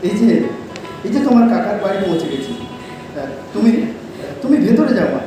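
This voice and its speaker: Man acting a kindly high-voiced Bengali patriarch, echoing hall. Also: big pitch swings. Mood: gently cautioning. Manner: gentle, reassuring, deliberate with repeated phrases. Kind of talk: Bengali stage audio drama. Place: live stage performance in a hall. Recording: echoey hall from far off, loud music behind.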